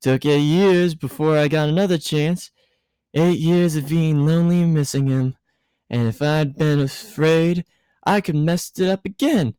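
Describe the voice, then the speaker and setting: A tipsy, bossy grown woman, acted out by a man, pitched up well above his usual voice in a dry recording. The delivery is rambling and emphatic, like a giggly guardian dispensing advice in a story reading. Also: unhurried, dark tone, smooth, no echo, close to an everyday voice. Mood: earnest, tipsy.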